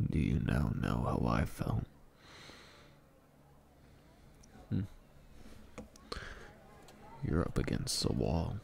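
A man's voice: a bass voice, vocal fry, darkish tone, heavily acted. A man acting a shy, once-bullied young man standing up for himself in a hesitant, murmured ASMR boyfriend roleplay. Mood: quietly confrontational. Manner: hesitant, murmured, with pauses and hums.

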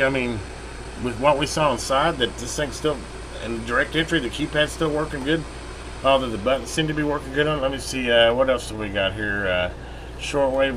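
Folksy American man over noisy background hum. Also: mid-range voice. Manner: folksy, rambling, plain-spoken. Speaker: radio repair video host. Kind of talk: casual hands-on demonstration commentary. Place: metal workshop building, indoors at a bench. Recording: steady background hum and radio noise.

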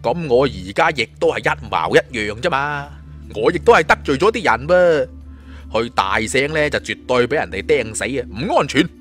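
Man playing a glib, animated Cantonese young hero. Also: mid-range voice, moderate pace. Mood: glib, persuasive. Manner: taunting, glib, casual. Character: confident, cunning young male martial-arts hero. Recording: music under the voice.

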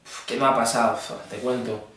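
A man's voice: medium pitch, fairly even intonation, moderate pace, a bit bright. Young male, casual phone chat, mid-range voice, heavy room echo, off-mic. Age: young adult. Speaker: prank caller, fitness trainer. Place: indoor green screen studio. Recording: very echoey room, distant mic.

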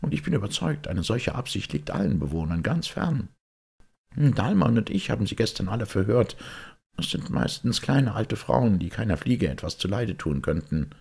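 A man voicing a self-assured, puzzled doctor who explains things deliberately in an audiobook detective story. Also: lowish voice, big pitch swings, gravelly, a little mellow, husky, voice pushed up, heavily acted. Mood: puzzled, insistent.

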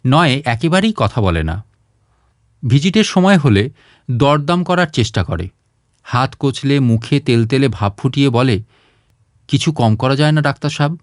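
A man reading a short story aloud as a scripted, expressive narration. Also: on the high side, no echo, clean studio sound. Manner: expressive, acts out dialogue.

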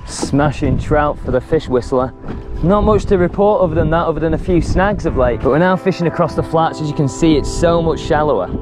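A young man, filming an excitable, slangy fishing vlog from a small boat at sea with an action camera. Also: on the high side, a little fast. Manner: cheeky, slangy, jokey banter. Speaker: fishing vlogger. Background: music bed.

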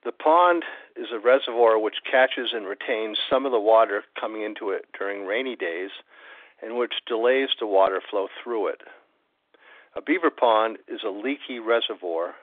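A man's voice: on the low side, normal speed, no room sound. A man doing a scripted reading of expository prose. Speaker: company president reading aloud. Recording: tinny phone-like audio.

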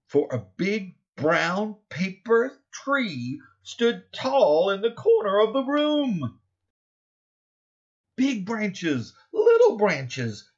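A middle-aged man reading his own children's picture book aloud, expressively and deliberately, stressing key words in the rhymes. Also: on the high side, highs cut off. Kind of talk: expressive children's storybook narration.

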